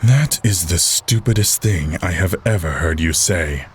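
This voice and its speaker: A man's voice: sits low, unhurried, slight background noise. An actor playing a stern, sceptical male antihero in a video game, speaking in a grave, blunt and dismissive way. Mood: sceptical challenge. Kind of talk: dramatic video game voice acting.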